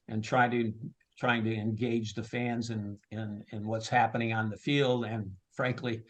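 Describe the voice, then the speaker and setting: Male, mid-pitched, ballpark announcer type in an informal interview, conversational and unscripted. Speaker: stadium public address announcer and marketer. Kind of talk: casual interview answers.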